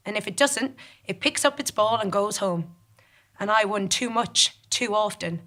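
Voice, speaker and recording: A woman's voice: a little high, pushed and bright. A woman performing a scripted comic stage monologue as a ruthless, competitive gambler, with dry, dramatic storytelling. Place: theatre stage in front of an audience.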